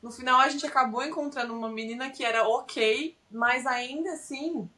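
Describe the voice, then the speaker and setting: A woman's voice: high-pitched, moderate pace, pushed and bright. A woman with a Brazilian Portuguese accent, a podcast guest telling her experiences in a detailed, explanatory way. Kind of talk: casual interview storytelling.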